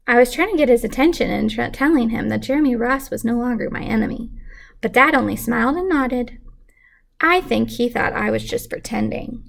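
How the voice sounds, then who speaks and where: A woman's voice: a little high, a little fast, creaky. A woman reading a children's storybook aloud expressively, like a teacher with a class lesson.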